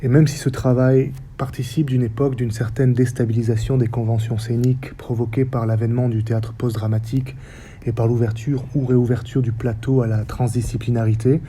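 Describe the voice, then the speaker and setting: A man in his forties from the French Basque Country, with a faint Basque accent, giving a reflective public talk as a stage director in measured, short phrases. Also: medium pitch, little pitch movement, mellow, slight background noise. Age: 40s. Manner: measured, list-like delivery with short paused phrases. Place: theatre stage or hall.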